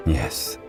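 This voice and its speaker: A man playing a composed, learned gentleman in a video game, speaking in a measured, formal and courteous way. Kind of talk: video game character acting.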